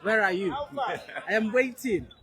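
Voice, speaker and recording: A woman's voice: medium pitch, lively intonation, slow. A woman from Nigeria who leads a church group, answering interview questions with confidence and enthusiasm. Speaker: church leader. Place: large outdoor expo venue. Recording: loud crowd behind. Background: crowd noise.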